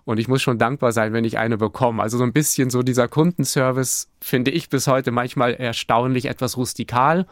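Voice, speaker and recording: A man's voice: medium pitch, fairly even intonation, fairly quick, few pauses, no room sound, studio quality. A man from Hamburg, a church planter, answering interview questions in a thoughtful way, with restarts and fillers. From Hamburg, Germany.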